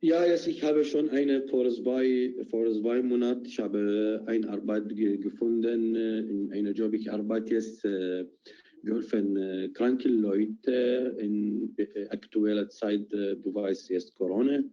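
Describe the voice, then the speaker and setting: A man from Iraq, answering interview questions in halting, hesitant German with many fillers over a video call. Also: lowish voice, steady tone, warm tone. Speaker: project participant. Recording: dull lo-fi online call audio.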